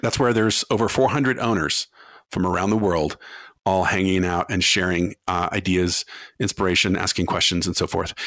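A man with a fairly low voice hosts a podcast, moving from upbeat promotion into relaxed interview chat. His voice sits close and dry, with no room sound. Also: no room sound. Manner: upbeat promotional, conversational with fillers. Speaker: podcast host.

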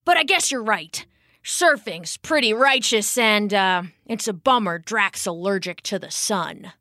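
A chilled-out werewolf surfer guy, his bright voice bouncing around a wide range as he drawls along unhurried, as a woman reading a storybook puts him on. The sound is dry and close. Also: medium pitch, expressive, dry recording. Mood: laid-back. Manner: surfer slang, drawling and easygoing. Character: laid-back male werewolf surfer dude.

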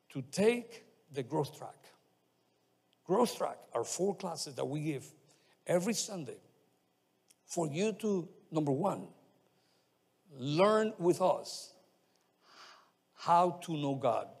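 A man with a Spanish accent delivers a sermon as pastor, his voice sitting somewhat high. He speaks haltingly, restarting phrases and dropping in amens. His words ring with the long reverb of a church hall. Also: creaky, darkish tone, breathy edge. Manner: halting, many restarts and fillers, frequent amens. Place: church sanctuary.

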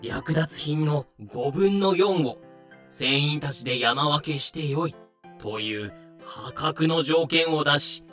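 A man's voice: on the high side, slow pace, fairly bright tone, faint music behind. A man narrating a scripted audio drama.

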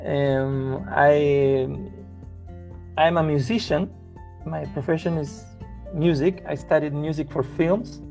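A man's voice: a little high, fairly even intonation, slow, vocal fry, darkish tone. Chilean man, Spanish accent, hesitant restarts, faint music underneath. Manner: hesitant, with many restarts and fillers. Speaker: guest musician. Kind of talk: casual interview chat.

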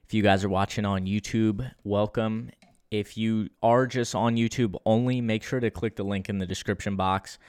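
A young man hosting his own podcast, talking on his own in a low-key, monotone way with casual restarts. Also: moderate pace, clean tone, no echo, clean studio sound. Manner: conversational with frequent restarts. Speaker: podcast host. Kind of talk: solo podcast monologue.

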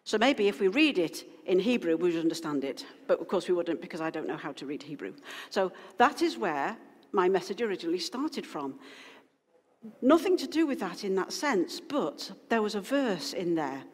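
A woman's voice: on the low side, big pitch swings, moderate pace. A woman pastor giving an informal, rambling sermon to her congregation, full of asides and restarts. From Wales. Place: church hall. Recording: long hall reverb.

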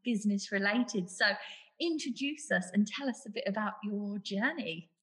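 Woman podcast host, normal mid pitch, casually asking interview questions. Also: lively intonation, normal speed.

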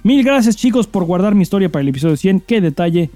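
A Mexican man with a fairly high, mellow voice co-hosts a casual podcast. He talks in a slangy, crude, joking way, recorded fairly dry with music underneath. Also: lively intonation, fast talker, no room sound. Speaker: podcast co-host. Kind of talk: casual chat and listener mail reading.

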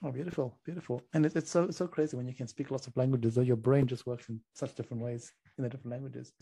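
Husky, enthusiastic male interviewer. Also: medium pitch, steady tone, quick pace, warm tone. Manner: enthusiastic, affirming. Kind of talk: conversational interview.

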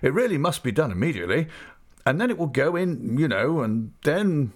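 A man voicing an eager, flustered old gentleman. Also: a little high, sing-song, voice pushed up. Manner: hurried, a little flustered and earnest. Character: mild, eager, childlike older gentleman. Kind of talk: audiobook character voice.

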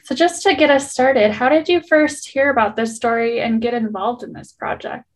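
A woman with a fairly high voice hosts a podcast about independent film. She reads her intro from a script and asks her guest clear, measured questions. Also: fairly even intonation, rough recording. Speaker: podcast host.

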